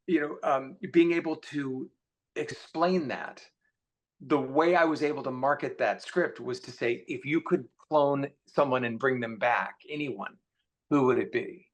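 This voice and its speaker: A man's voice: mid-range voice, measured pace. A man speaking casually as an author guest in a conversation, rambling a little, with a joking, self-deprecating way of talking.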